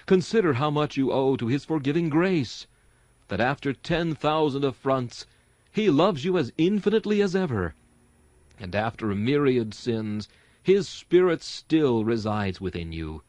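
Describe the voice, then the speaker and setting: A man reading an old-fashioned sermon aloud in a solemn, earnest, rhetorical way with deliberate emphasis. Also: medium pitch, sing-song, a little mellow, no echo. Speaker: sermon reader.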